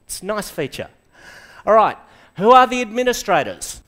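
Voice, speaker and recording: A man's voice: high voice, sing-song, sharp tone. Upbeat Australian man presenting in a lecture hall. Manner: enthusiastic, quizzes the audience, quick asides. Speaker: conference presenter. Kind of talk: lively tech presentation to a live audience. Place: lecture hall with seated audience.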